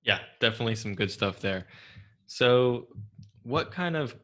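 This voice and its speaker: A man hosting a conversational business interview, phrasing his points and questions in a measured way with pauses. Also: medium pitch, animated, fairly bright tone. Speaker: interviewer, podcast host.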